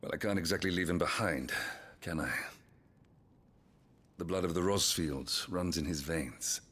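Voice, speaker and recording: An actor playing a weary, commanding middle-aged duke with a British accent, speaking in a measured way in a fantasy game. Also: male voice, deep voice, a bit bright, breathy edge, exaggerated voice. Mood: firm, impatient. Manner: measured, authoritative. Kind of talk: dramatic fantasy game acting.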